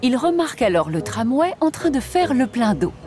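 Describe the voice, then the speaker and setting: Lady narrator for a kids cartoon, highish voice, very lively intonation, music playing behind. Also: normal speed. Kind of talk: scripted children's story narration.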